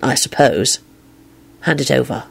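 A narrator voicing a wry, mild-mannered man in a story, apologetic and earnest in a hurried way. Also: mid-range voice, gravelly, slightly rough, pitched below the performer's own voice, exaggerated voice. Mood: low, intent. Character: wry, apologetic grown man, Lupin, quick and evasive.

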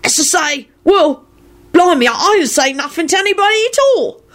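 Woman as a shifty, high-pitched blustering man. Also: animated, normal speed, fairly bright tone. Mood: defensive. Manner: colloquial, blustering. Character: shifty, defensive man who drinks.

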